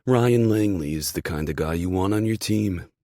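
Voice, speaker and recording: A man's voice: deep voice, moderate pace, dark tone, no echo, studio quality, pitched above the performer's own voice. A man voicing a hearty, encouraging male team boss in an emphatic, fatherly way for an audiobook. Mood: hearty, proud.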